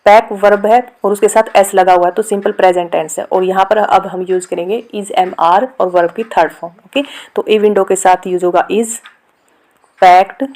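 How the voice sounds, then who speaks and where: A woman with an Indian accent explaining a lesson step by step as a teacher, reading out examples slowly and deliberately. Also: mid-range voice, fairly even intonation, darkish tone. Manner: instructional, reads example sentences slowly and deliberately. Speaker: grammar teacher. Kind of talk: step-by-step lesson explanation mixing Hindi and English.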